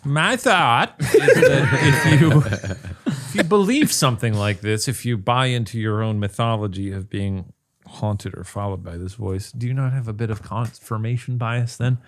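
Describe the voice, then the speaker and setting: Playful man, bouncy animated voice. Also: mid-range voice, normal speed. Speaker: co-host. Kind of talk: casual comedic podcast banter.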